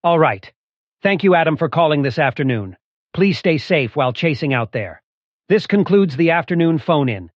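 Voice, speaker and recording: A man's voice: on the high side, expressive, normal speed, no echo, muffled recording. A man hosting a broadcast phone-in segment, in a raised announcing delivery. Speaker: show host.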